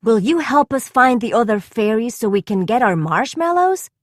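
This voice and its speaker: A woman's voice: a little high, very animated, moderate pace, no echo, clean studio sound. A woman voicing a brave, caring young girl who leads her friends in a children's storybook, earnest and decisive. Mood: determined.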